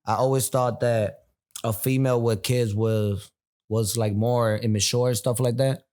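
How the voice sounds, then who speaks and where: A man's voice: medium pitch, fairly even intonation, a little slow, darkish tone. Laid-back young man. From Puerto Rico, raised in Philadelphia. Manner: laid-back street slang. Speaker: guest rapper. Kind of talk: casual chat. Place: bar.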